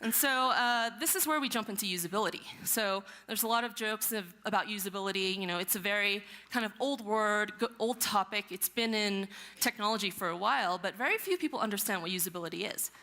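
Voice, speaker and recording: A woman in her thirties from Hong Kong, giving a relaxed, chatty conference talk on a large stage through a headset mic, with self-deprecating asides. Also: medium pitch, a little fast, few pauses. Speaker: conference speaker.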